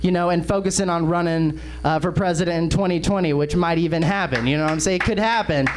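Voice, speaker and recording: A man from Texas with an American accent hosts a comedy panel show in casual, profane, excitable banter. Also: fairly high pitch, fast. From Texas, United States. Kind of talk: casual comic political chat.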